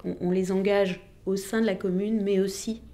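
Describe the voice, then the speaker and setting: A woman's voice: mid-range voice, level tone, normal speed, dark tone. A woman answering interview questions as a local elected official, reflective and personal in how she explains herself.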